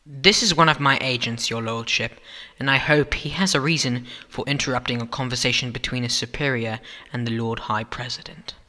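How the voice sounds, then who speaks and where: A man's voice: medium pitch, fairly quick, sharp tone, in a large hall. A man playing a stern, officious Time Lord coordinator in a sci-fi audio drama, with a pompous, formal, commanding manner. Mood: formal, irritated.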